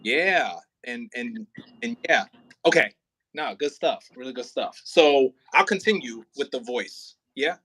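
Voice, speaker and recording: Bright-voiced man chatting casually. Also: mid-range voice, lively intonation, a little slow, slightly rough. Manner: informal, slangy. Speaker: audio play writer and rehearsal host. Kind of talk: casual rehearsal chat.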